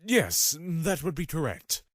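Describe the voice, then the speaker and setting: Man as a very expressive, formal father. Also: on the high side, takes it slowly, no echo, full character voice. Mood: courteous. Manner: formal, placating. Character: courteous, fussy middle-aged father of daughters. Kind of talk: fan audio drama acting.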